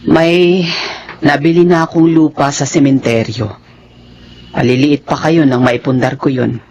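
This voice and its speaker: An actor voicing a gentle, devout older stepmother in a radio drama, soft-spoken and pious. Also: a little high, steady tone, a little slow. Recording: dull lo-fi radio recording. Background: faint music.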